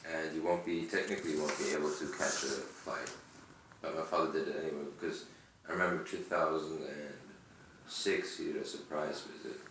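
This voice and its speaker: A man's voice: a bass voice, fairly even intonation, a little fast, noisy background. A man from Germany in casual conversation, rambling and telling stories. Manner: rambling, digressive storytelling. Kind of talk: casual chat. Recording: echoey room, far from mic.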